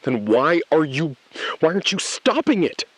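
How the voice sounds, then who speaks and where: A man's voice: fairly high pitch, sing-song, a bit bright, no room sound, poor audio. Actor as a desperate, intense male bank robber. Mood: accusing, rattled. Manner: intense, halting, confrontational. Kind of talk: scripted audio thriller acting.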